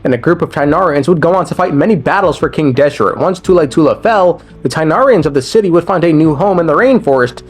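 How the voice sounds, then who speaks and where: A man's voice: on the high side, expressive, quick pace, hardly any pauses. A man narrating a scripted recap of a video game story in a casual, irreverent style.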